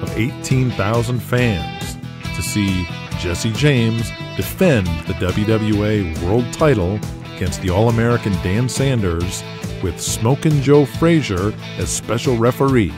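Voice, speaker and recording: A man narrating an audio drama in a measured storytelling manner. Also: lowish voice. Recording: narration over guitar music.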